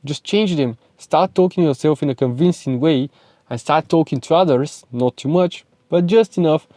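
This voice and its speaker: A young Bulgarian-accented man lectures with pushy, repetitive emphasis, his voice sitting a bit high yet mellow and soft-edged. The sound is close and dry, with no room echo. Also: moderate pace. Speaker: self-improvement vlogger and speaking coach. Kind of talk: motivational talk to camera.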